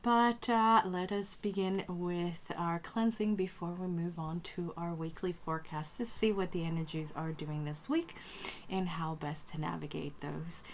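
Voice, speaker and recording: Female tarot host, slightly low register, voice strained from a recent cold, hesitant phrasing, some background noise. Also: fairly even intonation, a little slow. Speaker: tarot reader and channel host. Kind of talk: casual spiritual talk to camera.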